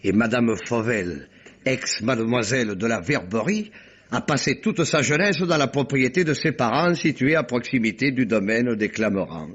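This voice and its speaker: A wily, jovial detective passing himself off as a chatty gentleman from Provence. His voice is slightly husky and swings widely in pitch, full of deferential, theatrical digressions. It sounds like a muffled vintage radio mystery. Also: animated, normal speed. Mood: confiding, sly. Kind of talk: old French radio mystery drama acting.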